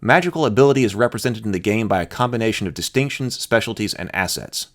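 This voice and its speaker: A man's voice: on the low side, animated, fast talker. A man presenting as a host, reading rules aloud and adding casual commentary of his own.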